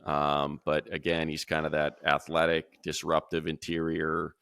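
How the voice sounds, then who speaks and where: A man's voice: rumbling low, monotone, measured pace, gravelly, fairly bright tone, clear, resonant tone, dry recording, studio quality. A man giving casual, opinionated football draft commentary as a radio analyst, talking conversationally and thinking aloud. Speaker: sports radio announcer and analyst.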